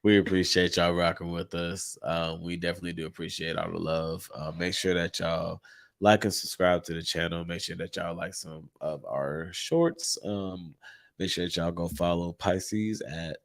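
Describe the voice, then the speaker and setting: Deep gravelly congested man. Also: steady tone, moderate pace. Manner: laid-back, candid, swears casually. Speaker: podcast co-host. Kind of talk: casual podcast chat.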